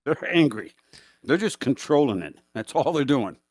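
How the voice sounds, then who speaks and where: A man with a deep, projecting voice chatting casually on a remote call, apologetic and self-deprecating. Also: very animated, moderate pace. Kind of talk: casual chat.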